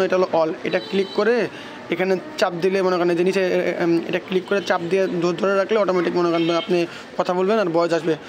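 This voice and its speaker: A man gives a halting hands-on technical walkthrough with frequent false starts, as a video presenter. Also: sits high, background noise.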